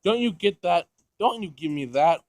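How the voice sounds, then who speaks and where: A man's voice: sits high, lively intonation, normal speed, darkish tone, no echo. A man voicing a shameless, irritable pervert of a dog owner in a visual novel, scolding and exclaiming. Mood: exasperated, scolding.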